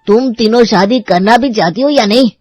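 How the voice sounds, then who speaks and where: A woman's voice: a little high, normal speed, darkish tone, dry recording, lightly acted. A woman voicing a stern, frustrated middle-aged father in a cartoon story. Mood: taunting, fed up. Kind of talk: Hindi cartoon story voice acting.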